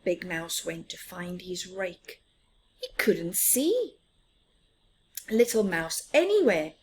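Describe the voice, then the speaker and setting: A woman's voice: fairly low pitch, lively intonation, takes it slowly, slightly rough. A woman reading a picture book aloud expressively for young children, putting questions to them and often dropping toward a whisper. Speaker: children's storyteller.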